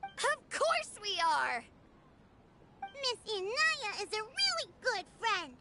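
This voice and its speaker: A woman playing an excitable, adoring little child in a game, with a gushing, childlike manner. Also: very high voice, takes it slowly, heavy background noise, heavily acted. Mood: excited, adoring. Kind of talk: scripted game voice acting.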